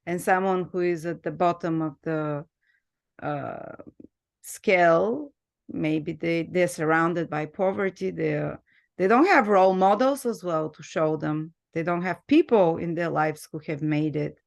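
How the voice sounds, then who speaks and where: A woman's voice: fairly low pitch, measured pace, gravelly. A woman from Bulgaria with a Bulgarian accent, hosting a casual conversation and laughing often, with hesitant restarts. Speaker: host.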